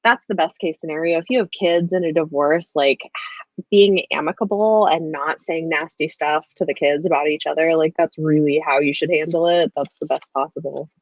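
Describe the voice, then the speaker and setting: Woman, casual livestream host, informal book talk, lower pitch, dull lo-fi sound. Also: normal speed, dry recording.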